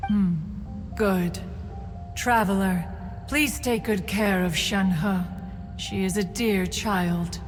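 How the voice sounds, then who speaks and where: Woman voicing a haughty ancient crane spirit, echoing slowly. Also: medium pitch, close to an everyday voice. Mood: fond, stately. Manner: haughty, archaic, formal speech. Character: proud, aloof crane adeptus, an ancient female guardian. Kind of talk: video game cutscene acting. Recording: long reverb, music behind.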